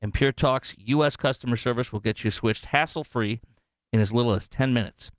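A man's voice: medium pitch, fairly quick, no echo. An American man hosts a talk radio show with a clean, resonant voice in a dry studio sound. He speaks off the cuff, opinionated and joking, leaning hard on his points and drifting into tangents. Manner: opinionated, jokey, digressive, emphatic. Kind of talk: unscripted talk radio monologue with live ad reads.

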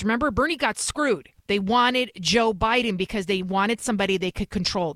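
A woman's voice: medium pitch, a bit bright, no echo. A woman with a thick Bronx accent hosts a talk program in an emphatic, insistent way, hammering her points by repeating them. The recording is dry and close, with no room sound. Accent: New York (Bronx). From New York City. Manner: emphatic, repeats phrases for effect. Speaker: host. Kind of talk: talk radio commentary.

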